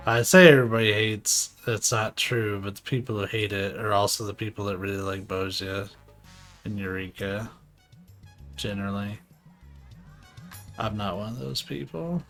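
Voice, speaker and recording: A man's voice: fairly low pitch, normal speed, long pauses, a bit bright, faint music behind. Laid-back man streaming a game. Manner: casual, laid-back, trails off into mumbles. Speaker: gaming streamer. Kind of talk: live gameplay commentary.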